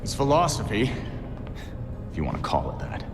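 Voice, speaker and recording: A cynical young American vigilante for a game cutscene. His voice is deep and gruff, and he talks in a blunt, sardonic way. Long reverb and music surround him. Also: big pitch swings, normal speed. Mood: grim, skeptical. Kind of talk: video game cutscene acting.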